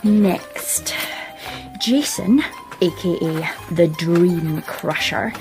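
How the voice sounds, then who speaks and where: A woman's voice: fairly low pitch, drawn-out words, music in the background. An actress playing a shy, wry, unemployed young woman graduate in a radio play, hesitant aloud and confiding in her inner monologue. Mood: dry, self-mocking. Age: young adult.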